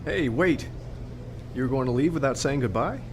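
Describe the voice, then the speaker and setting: A man's voice: mid-range voice, animated, moderate pace, a little hiss or hum, lightly acted. Man playing a nervous, earnest young mycologist. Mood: hopeful, awkward. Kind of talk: audio drama acting.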